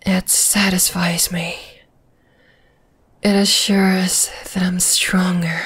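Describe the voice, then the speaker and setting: A woman playing a dominating, flesh-eating spider monster in an ASMR roleplay, whispering in a breathy, teasing, menacing way. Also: on the low side, flat delivery, slow pace, a bit bright, full character voice. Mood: gloating, menacing. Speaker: voice actor.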